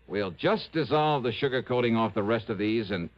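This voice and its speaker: A man's voice: medium pitch, animated, moderate pace, lightly acted. An actor playing a shrewd, confident male investigator in a 1960s radio drama, with a wry, punchy delivery. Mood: coaxing, triumphant. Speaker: lead actor and narrator. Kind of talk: 1960s radio detective acting. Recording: muffled old radio broadcast recording.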